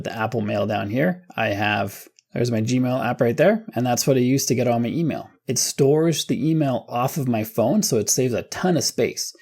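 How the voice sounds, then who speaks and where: Gravelly, husky tech tutorial host. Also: mid-range voice, a little mellow. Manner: conversational, informal, thinks aloud. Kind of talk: casual step-by-step screen tutorial.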